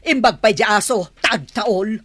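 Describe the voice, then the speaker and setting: A woman's voice: medium pitch, a little slow. A woman playing a suspicious, haughty mother of a grown son, scolding and nagging in an audio drama. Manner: sharp, nagging, exclamatory. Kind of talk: melodramatic audio drama acting.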